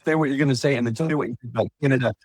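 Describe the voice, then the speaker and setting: A man's voice: medium pitch, darkish tone, no room sound. A man co-hosting a soccer podcast who speaks bluntly and pushes the conversation forward. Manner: blunt, quick to push the talk along. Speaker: podcast co-host. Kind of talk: casual chat.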